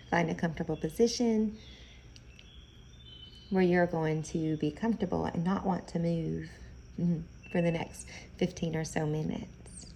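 A woman guides a meditation at a very slow, gentle pace, leaving pauses between phrases. Her voice is soft-edged and mellow, with a noticeable creak. The style is soothing instruction, unhurried throughout. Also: fairly low pitch, a little hiss or hum.

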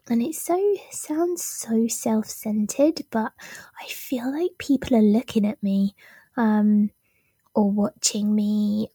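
A woman hosts her own podcast in a relaxed, slow way, laughing at herself between ums. Her voice has gone husky and deep for now, with a mellow, dark tone. The room is dry and close. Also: no room sound. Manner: self-deprecating, many ums, laughs at herself. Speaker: podcast host. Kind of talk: casual solo podcast talk.

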